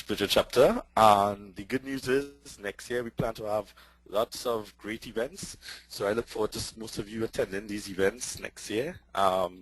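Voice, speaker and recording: A man with a Trinidadian accent talks through a technical webinar over a computer mic. His voice sits a little low and sounds dry, with no room around it. He talks quickly and eagerly, with plenty of fillers. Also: no echo, poor audio. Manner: fast, excitable delivery with many fillers. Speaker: presenter and database lecturer.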